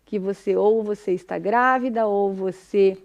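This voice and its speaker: A woman's voice: medium pitch, a little slow. A woman with a Brazilian Portuguese accent giving an informal, didactic talk as a speech therapist, often adding little check-in tag questions.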